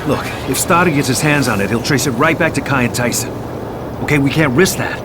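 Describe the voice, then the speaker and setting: A man's voice: mid-range voice, a little fast, over loud music, close to an everyday voice. An actor playing a guarded, determined man on the run in a video game, dry and matter-of-fact. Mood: terse, determined. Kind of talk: video game cutscene acting.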